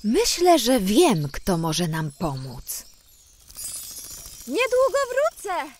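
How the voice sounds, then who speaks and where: A woman's voice: on the high side, drawn-out words, heavily acted. A woman voicing a kind, reassuring fairy in a children's audio drama. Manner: lively, reassuring. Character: kind female fairy, storyteller.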